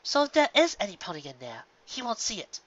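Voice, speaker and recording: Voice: mid-range voice, wildly swooping pitch, fast, a bit bright, highs cut off, a little hiss or hum, voice pushed up. A reader voicing a refined, dramatic and caring female unicorn in a fan fiction story. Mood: cautious.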